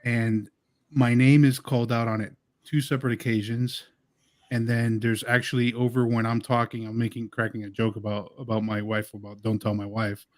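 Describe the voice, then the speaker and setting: Man, mid pitch, eager and talkative, dry close-mic sound. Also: flat delivery, moderate pace, no room sound. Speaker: paranormal team member. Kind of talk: casual live-stream chat.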